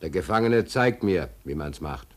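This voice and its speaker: An actor playing a wry, stateless drifter in a radio play, speaking in a laconic, deadpan way. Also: male voice, a bass voice, normal speed, loud music behind the voice. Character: wry, stateless male drifter recounting his prison days. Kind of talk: German radio play acting, dry first-person narration.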